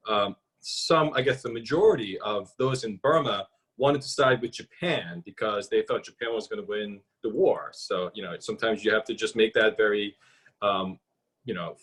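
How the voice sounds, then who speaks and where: A man's voice: on the low side, a bit bright, breathy edge. A man moderating a panel discussion, musing aloud and hedging with many fillers as he asks questions.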